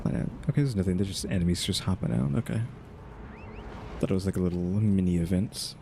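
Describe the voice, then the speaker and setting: A man's voice: sits low, fairly quick, vocal fry, mellow, slight background noise. A man giving casual, informal gameplay commentary, thinking aloud as he goes. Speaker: gaming channel host. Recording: game music behind the voice.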